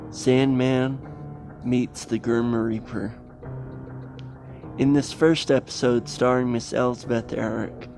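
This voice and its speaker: A man hosting a podcast, reading a scripted introduction in deliberate, halting phrases. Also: mid-range voice. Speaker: podcast host. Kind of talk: scripted introduction of old radio plays. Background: music.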